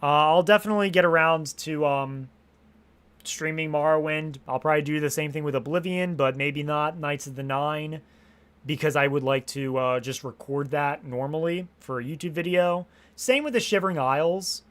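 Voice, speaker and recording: A young man chatting casually on a live stream, rambling and restarting his sentences, swearing freely, and a little hoarse after hours of talking. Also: a little high, normal speed, a bit bright. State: slightly hoarse from long streaming.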